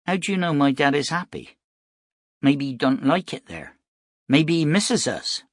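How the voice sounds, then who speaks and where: An innocent, wide-eyed young boy from a novel, acted by a male narrator. The pitch sits well above the man's own and moves in animated swoops, earnest and excitable, in a dry recording. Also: a little slow, no room sound. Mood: earnest, questioning.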